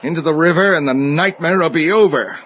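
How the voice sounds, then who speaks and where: An actor playing a tormented, guilt-ridden judge with halting, desperate delivery in a radio drama. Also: male voice, a little high, fairly bright tone, natural voice. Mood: agitated. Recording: noisy radio drama recording.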